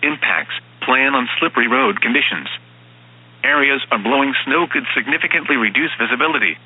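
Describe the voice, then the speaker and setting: A synthetic man's voice reading formal emergency weather bulletins as an automated announcer, in a flat, stilted manner, with lo-fi radio sound. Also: mid-range voice, brisk, slightly rough. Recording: dull, band-limited weather radio broadcast sound.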